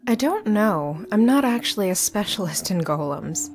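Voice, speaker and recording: Serious young female necromancer, woman acting, visual novel. Mid-pitched, scholarly, matter-of-fact, music clearly underneath. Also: very animated, normal speed, close to an everyday voice. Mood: explaining.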